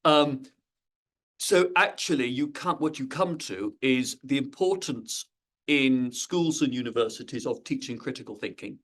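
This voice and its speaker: A man's voice: medium pitch, unhurried, fairly bright tone. A middle-aged man speaking on a panel, hesitant, with many fillers and repeated words. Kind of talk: panel discussion.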